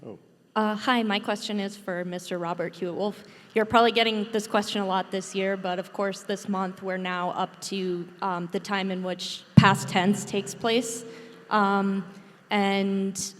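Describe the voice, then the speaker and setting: A woman's voice: mid-range voice, flat delivery, measured pace. A woman putting a question to a panel from the audience, polite and a little hesitant. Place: large hall, audience microphone at a convention panel. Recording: noisy, echoey hall microphone.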